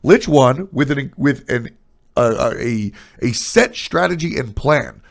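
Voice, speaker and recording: Stuffed-up nasal man, gravelly. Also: mid-range voice, expressive, takes it slowly. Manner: blunt, opinionated, breaks off into trailing asides. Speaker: streamer discussing esports. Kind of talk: casual opinionated chat.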